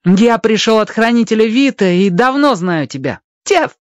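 A performer as young boy, high voice, eager, friendly, fox-like yelps, lively, radio storybook. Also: normal speed, dry recording, close to an everyday voice. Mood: earnest. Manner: excited, yelping between phrases.

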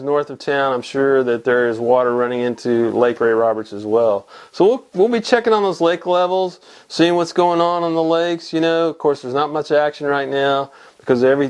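A man's voice: medium pitch, moderate pace, a little mellow. A man in his fifties from Texas, hosting a casual weekly fly fishing report from his small home fly shop, talking to a camera at desk distance with lots of fillers and restarts. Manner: rambling, many restarts and fillers. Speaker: fly fishing show host. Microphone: camera mic at desk distance.

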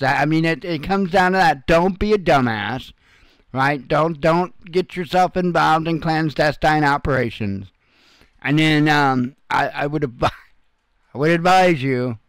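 A man's voice: on the high side, a bit bright, clean tone, no room sound. A man hosting informal, unscripted news commentary in a blunt, folksy way with some salty language. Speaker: host of an online news commentary channel.

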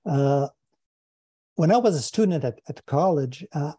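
An older man from Quebec with a Quebec French accent, a native French speaker, answering interview questions over a video call from his study, thoughtful and halting, often repeating words. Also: a little high, lively intonation, dark tone, slightly rough, dry recording. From Quebec, Canada. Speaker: guest philosopher. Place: home study with bookshelves. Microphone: earphone mic on video call.

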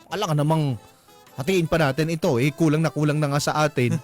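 A Filipino man with a notably high voice hosts a radio advice segment. He talks casually and colloquially, with animated, teasing banter. Faint music plays behind him. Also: brisk. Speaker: radio host giving advice to callers.

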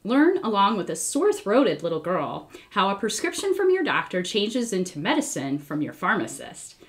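A middle-aged American woman reading a children's book aloud in an expressive, deliberate way. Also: mid-range voice, breathy edge. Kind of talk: read-aloud storytelling, scripted. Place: small indoor room, wall covered in papers.

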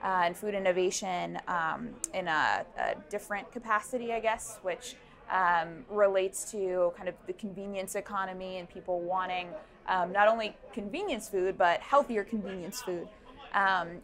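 Young female founder, lapel-miked at a busy event; thoughtful, halting delivery with frequent ums. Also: mid-range voice, a bit bright. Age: young adult. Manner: thoughtful, many ums and restarts. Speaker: startup founder. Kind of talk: interview answers. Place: busy indoor networking event. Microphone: lapel mic.

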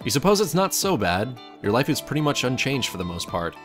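A man's voice: medium pitch, big pitch swings, a little fast, a bit bright, soft background music. A man reading a scripted second-person story aloud as its narrator.

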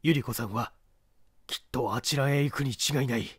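A man voicing a composed, suave gentleman thief with formal, earnest manner in a storybook. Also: medium pitch, normal speed, breathy edge, dry recording, clean studio sound, lightly acted. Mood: calm.

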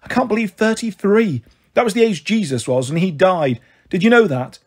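Woman acting a husky, tearful British mother, higher-pitched. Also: lively intonation, normal speed. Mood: gloomy, tearful. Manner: gloomy, emotional, pleading. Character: tearful, self-pitying, flighty thirty-three-year-old mother.

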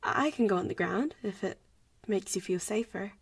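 A young woman voicing a playful, protective popular vampire girl in an intimate audio roleplay, breathy and often dropping to a whisper. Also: medium pitch, lively intonation, moderate pace, dry recording. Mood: casual, protective. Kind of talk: audio roleplay voice acting, addressing the listener.

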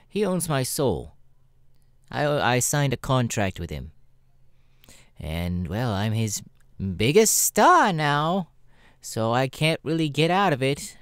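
A man's voice: medium pitch, no echo, clean studio sound. A man voicing a jaded, sassy, foul-mouthed male spider demon with a sardonic, weary drawl in an ASMR audio roleplay. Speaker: voice actor, ASMR roleplay artist.

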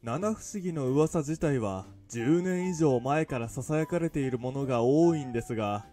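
Guy playing earnest eel school club prez in a visual novel, talks fast n formal, game music under it. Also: medium pitch, warm tone, lightly acted. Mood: musing. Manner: formal, polite, explanatory. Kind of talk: visual novel character acting.

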